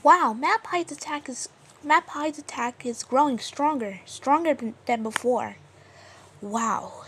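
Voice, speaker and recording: A woman's voice: sits high, a little slow, breathy edge. A woman casually narrating a homemade story as a video creator, hesitant and repeating her phrases. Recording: faint background noise.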